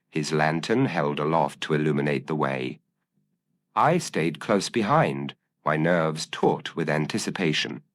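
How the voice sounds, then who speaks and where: A man narrating a scripted gothic mystery story in a measured, theatrical, formal storytelling manner. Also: deep voice, dry recording. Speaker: audiobook narrator.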